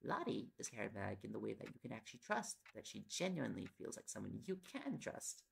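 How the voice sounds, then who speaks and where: A young man playing an affectionate, teasing reporter boyfriend in an intimate audio roleplay, with a gravelly voice and playful, coaxing talk. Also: fairly low pitch, very animated, fast talker, heavily acted. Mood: chatty, fond. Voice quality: gravelly, creaky. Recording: loud background noise with clicks. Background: ticking and clicking sounds.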